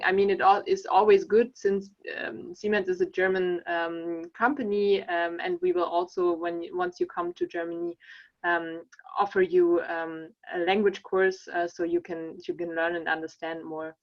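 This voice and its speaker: A woman's voice: mid-range voice, highs cut off. A woman answering questions on a company panel, friendly and hesitant, with many fillers. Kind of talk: panel discussion.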